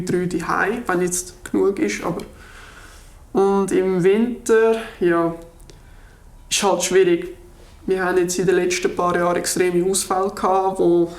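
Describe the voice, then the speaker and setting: A woman in her twenties with a Swiss German accent, a postal worker talking casually with many filler pauses in a documentary interview. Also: lowish voice, creaky, roomy sound. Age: 20s.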